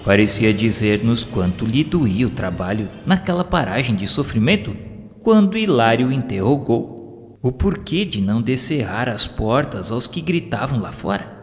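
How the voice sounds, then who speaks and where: A man with a Brazilian accent reads a scripted audio novel as its narrator, in a solemn, formal and measured literary manner. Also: mid-range voice, very animated, slight background noise. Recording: long hall reverb, music underneath. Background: background music.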